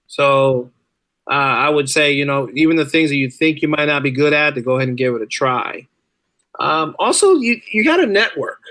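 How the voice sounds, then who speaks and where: A man's voice: mid-range voice, sharp tone, no echo. A talkative man speaking casually and enthusiastically as a guest in an interview. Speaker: podcast guest, writer and podcaster.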